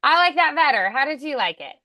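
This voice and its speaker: A woman's voice: high voice, big pitch swings, a little fast, bright, forceful tone, no echo. A woman speaking as an encouraging voice acting coach in a one-on-one lesson.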